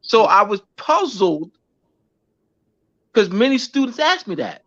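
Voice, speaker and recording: A man, a schoolteacher, talking in a casual interview, halting with many restarts and fillers. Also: sits high, very animated, fairly bright tone. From United States. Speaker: schoolteacher guest. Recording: dull lo-fi recording.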